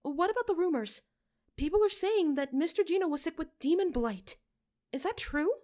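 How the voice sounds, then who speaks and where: A woman's voice: very high voice, lively intonation, moderate pace, a little mellow, pitched above the performer's own voice. A woman voicing an anxious young parishioner in a fantasy story, earnest and pleading. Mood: anxious, questioning.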